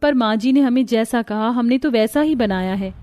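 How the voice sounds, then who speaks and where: A woman's voice: on the high side, normal speed, darkish tone, no echo, faint music behind, natural voice. A woman voicing a worried, dutiful young daughter-in-law in a cartoon story, speaking politely and pleadingly. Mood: meek protest. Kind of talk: Hindi cartoon moral-story dialogue.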